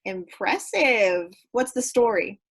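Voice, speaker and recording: A woman's voice: medium pitch, expressive, slow, slightly rough, heavily acted. A woman acting a blunt, impulsive drinker in a staged play reading, with a theatrical manner. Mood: feigned interest.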